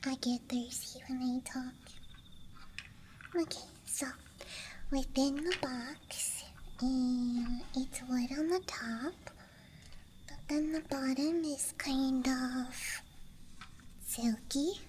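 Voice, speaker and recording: Woman whispering softly, slow and hesitant. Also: high-pitched, fairly even intonation, a little hiss or hum. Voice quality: breathy whisper. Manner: soft, hesitant, musing, self-deprecating. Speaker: ASMR creator. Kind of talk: whispered ASMR ramble. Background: soft night ambience.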